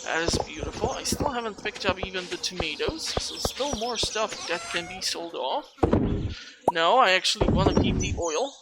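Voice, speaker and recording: Let's play host, mid-pitched voice, casual rambling commentary, heavy background noise with clicks. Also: expressive, moderate pace. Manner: rambling, thinking aloud. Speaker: gameplay host.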